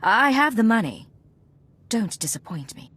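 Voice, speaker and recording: A woman's voice: fairly high pitch, big pitch swings, measured pace, fairly bright tone, breathy edge, heavily acted. A woman voicing a cool, self-assured secret agent in a video game, terse and controlled. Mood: cool, determined.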